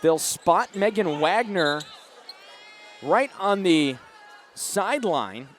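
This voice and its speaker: A man doing live radio play-by-play of a basketball game from an arena, projecting his calls and getting animated on big plays. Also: high voice, slow pace. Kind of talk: live sports commentary. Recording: noisy live arena broadcast, bouncing balls.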